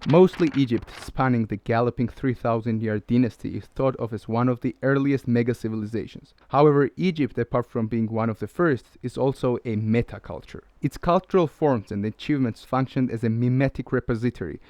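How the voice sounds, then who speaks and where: Guy narrating a video essay, lecture tone, mid pitch, dry close sound. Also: a little mellow, no echo. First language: Georgian. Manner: measured, lecturing. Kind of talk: scripted narration.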